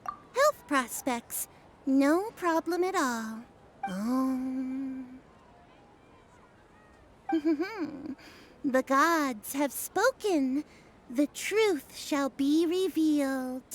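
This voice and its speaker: A woman's voice: sits high, lively intonation, a little hiss or hum, exaggerated voice. A woman voicing a theatrical, solemn fortune teller in a video game, with pompous, old-fashioned delivery. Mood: mystical. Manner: pompous, archaic, drawn-out delivery. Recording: clear music behind the voice.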